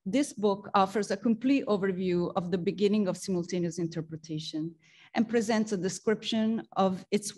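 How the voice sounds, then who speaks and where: A woman's voice: medium pitch, darkish tone. Woman, academic talk, lecture hall, earnest, occasional ums. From Italy. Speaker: guest speaker and author. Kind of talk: academic presentation.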